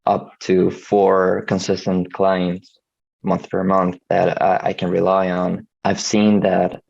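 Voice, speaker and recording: A man's voice: deep voice, monotone, slow pace, vocal fry, darkish tone, muffled recording. A man from Venezuela, a video editor talking over a call in a hesitant way, restarting his words often. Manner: hesitant, frequent restarts and stammers. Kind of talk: casual video call conversation.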